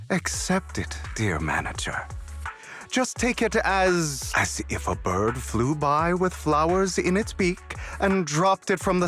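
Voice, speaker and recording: Suave gentleman rogue, lilting and unhurried. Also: medium pitch. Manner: polished, teasing, leisurely, with coy pauses. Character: suave, sly gentleman rogue, theatrically courteous. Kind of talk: video game character dialogue. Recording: music under the voice.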